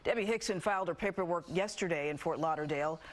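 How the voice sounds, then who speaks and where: A woman's voice: lowish voice, quick pace. A woman with an American accent reads scripted TV news as an anchor. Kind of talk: scripted newscast narration.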